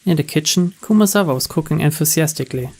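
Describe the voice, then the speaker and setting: A man's voice: on the high side, fast, darkish tone. A man reading a visual novel's story text aloud as scripted narration. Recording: music and rain behind.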